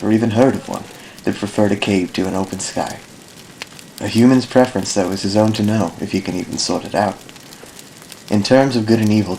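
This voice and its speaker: A man playing a thoughtful dark elf ranger in an amateur audio drama, speaking in a solemn, measured, formal way. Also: fairly low pitch, natural voice. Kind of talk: fan audio drama narration, literary monologue. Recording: noticeably noisy amateur recording.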